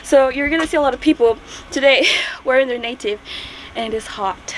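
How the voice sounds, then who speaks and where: A woman's voice: sits high, a little fast, a bit bright, slight background noise, low-quality recording. A young woman with a Nigerian accent, chatting casually to a handheld camera outdoors in the heat and laughing often. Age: young adult. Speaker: vlogger. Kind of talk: casual vlog chat to camera. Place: outdoors by a house in hot sun. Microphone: handheld camera at arm's length.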